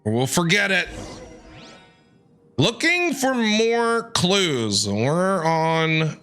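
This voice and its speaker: A man's voice: high-pitched, very animated, very slow, fairly bright tone, soft background music. A man giving casual, wry commentary as he plays a video game, with joking asides. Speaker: streamer reacting to a video game.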